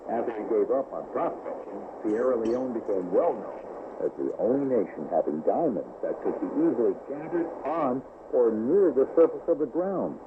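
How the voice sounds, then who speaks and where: A man's voice: mid-range voice, animated, moderate pace. A man reading a scripted religious devotional as a storytelling narration on a radio broadcast. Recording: noisy shortwave radio broadcast, phone-like band. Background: clear radio static.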